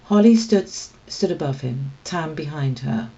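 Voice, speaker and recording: A woman reading a children's story aloud as expressive scripted narration. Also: deep voice, unhurried, lo-fi sound. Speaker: storybook reader.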